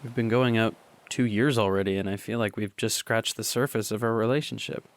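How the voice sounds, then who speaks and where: A young man acting a lovesick, anxious teenage high school boy in an audio drama monologue, speaking haltingly and confessionally. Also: steady tone, close to an everyday voice. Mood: wistful, tender.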